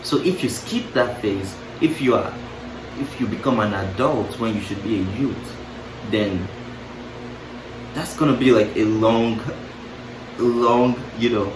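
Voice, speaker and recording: A man's voice: medium pitch, measured pace, long pauses. A young man musing casually to camera, breaking off into playful asides and restarting his thoughts. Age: young adult. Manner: musing, self-interrupting, playful asides with laughs. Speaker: vlogger. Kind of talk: casual reflective monologue to camera. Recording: roomy, distant mic, clear background noise.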